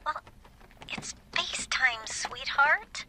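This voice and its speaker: A woman's voice: sits high, animated, slow pace, background noise. An actress in an audio drama playing a fretful, smothering middle-aged mother with a sugary, hesitant, disapproving manner. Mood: fretful, coaxing. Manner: hesitant, cloying, passive-aggressive.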